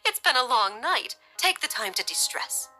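A woman's voice: high-pitched, sing-song, normal speed, sharp tone, soft background music, voice pushed up, exaggerated voice. A woman voicing a kindly, emotional older woman in an audiobook, gushing and tender as she fusses over family. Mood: warm fussing.

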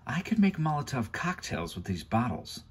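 A man reading aloud and voicing an inventive, resourceful teenage girl in a soft, raised voice. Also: medium pitch, sing-song, normal speed, slightly rough, pitched above the performer's own voice. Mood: anxious.

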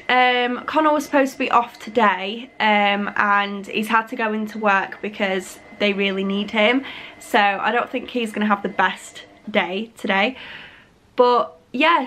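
A young woman in her twenties, slightly husky as she gets over a cold, chatting to camera in her living room with lots of fillers. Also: fairly high pitch, fairly bright tone, a little hiss or hum. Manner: rambling, many fillers and restarts. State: recovering from a cold. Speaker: vlogger. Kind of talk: casual chat to camera. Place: living room at home, indoors. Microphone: camera on a tripod.

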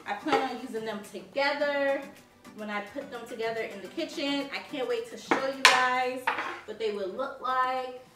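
A woman's voice: high-pitched, normal speed, over loud music. A young woman from Haiti chatting casually to her viewers as she shows off home decor, with a bubbly manner and lots of "like"s. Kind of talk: casual haul video. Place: living room, seated on a sofa. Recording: roomy sound from a distant mic.

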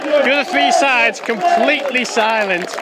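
A high-voiced man talks casually into his phone from the stands. A loud crowd roars around him and outdoor noise fills the recording. He chats and grumbles as he films. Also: a little slow. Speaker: fan vlogger at a rugby ground. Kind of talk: casual handheld commentary. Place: outdoor rugby stadium stands. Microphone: phone camera held in hand.

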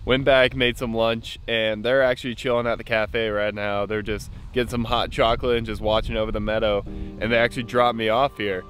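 A man's voice: fairly low pitch, brisk, soft background music. A young man in his twenties talking casually to a handheld camera while camping and hiking outdoors. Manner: relaxed, chatty, upbeat about nature. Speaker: camping vlogger. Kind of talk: casual vlog narration. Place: outdoors at a campsite, meadow and in a tent. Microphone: handheld camera at arm's length.

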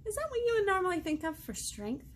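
A woman in her thirties reading a picture book aloud to young children as a storytime host, expressively, pausing to ask them questions. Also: extremely high pitch, a little hiss or hum. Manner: expressive, child-directed, asks questions and pauses. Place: indoor room, seated.

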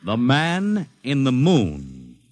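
A man with an American accent announcing a 1950s radio show in a grand, portentous, measured manner. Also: mid-range voice, huge pitch swings. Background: loud dramatic music.

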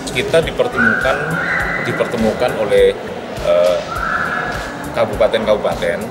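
A man in his forties answering interview questions at an expo booth, halting and full of fillers. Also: on the low side, distant mic. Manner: hesitant, many fillers and restarts. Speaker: film company representative. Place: busy indoor expo hall, at a booth. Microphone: handheld reporter mics held close.

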